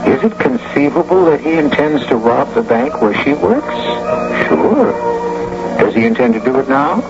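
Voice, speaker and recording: A man's voice: medium pitch, big pitch swings, moderate pace, music in the background. A man hosting a radio suspense show with teasing, theatrical scripted narration. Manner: theatrical, teasing, rhetorical questions. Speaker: host. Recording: dull, hissy, humming old radio broadcast.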